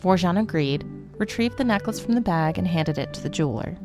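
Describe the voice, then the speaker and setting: A woman's voice: lowish voice, expressive, a little fast, a little mellow, faint music behind. A woman reading scripted narration for a fantasy story, as a storyteller. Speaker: narrator of a fantasy fiction podcast.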